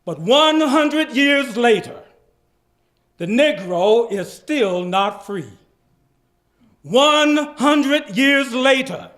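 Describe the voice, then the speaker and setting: Male reader as a civil rights orator: solemn, declamatory, very high-pitched for a man, slow drawn-out phrasing. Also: fairly bright tone, heavily acted. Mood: solemn, mournful. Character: impassioned male civil rights orator addressing a great crowd. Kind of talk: dramatic reading of a 1960s oration.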